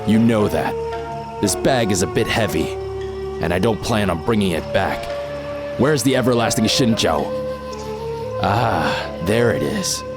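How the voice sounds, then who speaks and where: An actor playing a cold, taunting masked mercenary in an audio drama, with a slow, menacing, deliberate delivery. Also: male voice, lowish voice, animated, loud music behind the voice. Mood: cold menace.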